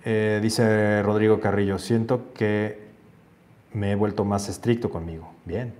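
Man, 40s, low-pitched host, earnest chat over a lapel mic, home office. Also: fairly even intonation, unhurried, a little mellow. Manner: reads comments aloud then muses on them, earnest. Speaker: host reading viewer comments. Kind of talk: casual livestream chat. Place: home office with shelves, indoors.

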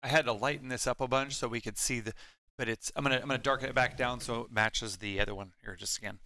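A man with a bright voice of ordinary pitch chats casually as a production helper. His phrasing is informal and slightly hesitant. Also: fairly even intonation, fast. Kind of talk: casual chat.

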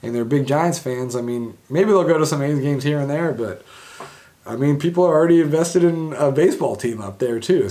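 A man's voice: medium pitch, dark tone. Chatty young man breaking down sports news. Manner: conversational, many fillers and restarts, wry laughs. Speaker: sports blog host. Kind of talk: casual news commentary. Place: home room indoors.

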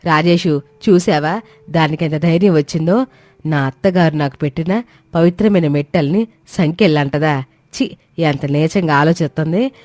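A woman's voice: sits low, normal speed, a little mellow, no room sound, natural voice. A woman voicing a bossy, cruel older mother-in-law in a dramatised moral story, sneering and commanding. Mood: indignant, accusing. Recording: faint music behind.

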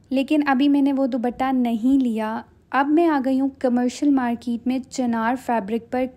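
A woman's voice: a little high, warm tone. A young woman from Pakistan narrating her shopping vlog in a chatty way, walking through the prices step by step. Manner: chatty, explains prices step by step, mixes in English phrases. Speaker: vlogger.

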